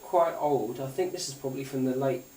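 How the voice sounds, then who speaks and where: A British speaker giving a casual hands-on demonstration of a gadget, thinking aloud in a hesitant, halting way. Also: mid-range voice, warm tone, far from the mic, slight background noise. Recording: roomy echo, off-mic, radio and music playing. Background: music from the player being shown.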